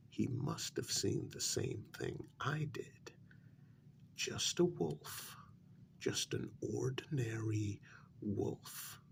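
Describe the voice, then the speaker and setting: A man playing an evasive, deferential worker in a soft ASMR role-play, speaking hesitantly and politely in a breathy half-whisper. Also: fairly low pitch, exaggerated voice. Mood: placating, dismissive. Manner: hesitant, polite, gossipy while feigning reluctance. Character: evasive, deferential male worker smoothing things over with his boss.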